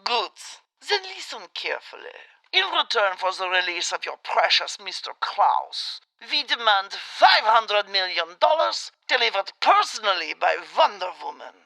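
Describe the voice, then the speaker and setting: A woman's voice: medium pitch, sing-song, moderate pace, creaky, sharp tone, full character voice, low-quality recording. A woman playing a haughty, short-tempered villainess queen in a comedy radio play. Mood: menacing, commanding. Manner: imperious, exasperated, theatrical.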